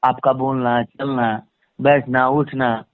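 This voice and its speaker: A man's voice: medium pitch, flat delivery, takes it slowly, no echo. A man giving a conversational phone interview as a guest, emphatic and repeating phrases for stress. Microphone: phone line. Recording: tinny, band-limited phone call recording.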